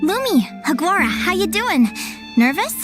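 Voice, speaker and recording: A woman playing a small, cheerful, excitable childlike girl companion in a video game. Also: very high voice, normal speed, music in the background. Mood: cheerful, eager. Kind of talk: animated video game voice acting.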